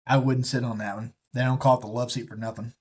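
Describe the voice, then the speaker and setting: A man with a drawl rambles through loose, folksy chat on a live stream. He swears freely and drifts from one thought to the next, in a voice of middling pitch. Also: flat delivery, quick pace, breathy edge. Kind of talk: casual rambling chat while building a kit.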